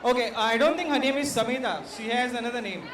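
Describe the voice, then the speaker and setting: A man with an Indian accent and a fairly high voice hosts a quiz for a live audience. He sounds distant from the microphone, in a very echoey space. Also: quick pace, a loud crowd. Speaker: art director and quiz co-host. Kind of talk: informal live event address to an audience. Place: comic convention event. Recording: echoey, noisy event venue, distant pickup.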